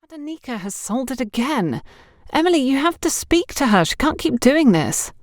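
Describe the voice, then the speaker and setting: A woman's voice: high voice, big pitch swings, normal speed, dry recording, studio quality, voice pushed up. A woman voicing an aggrieved, confrontational young female shop worker in an audio story. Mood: distressed.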